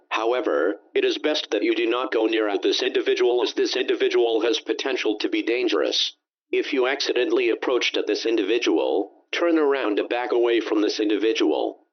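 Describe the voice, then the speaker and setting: A man's voice: mid-range voice, dry recording. Synthetic male emergency announcer, dull lo-fi sound. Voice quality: synthetic text-to-speech voice. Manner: formal, impersonal. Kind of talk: scripted emergency alert announcement.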